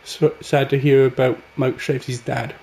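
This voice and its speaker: Man, raspy from a cold, excitable play-by-play, echoey room. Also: medium pitch, normal speed. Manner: excitable, calls the action as it happens. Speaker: gaming channel host. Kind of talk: casual play-by-play commentary over a hockey video game.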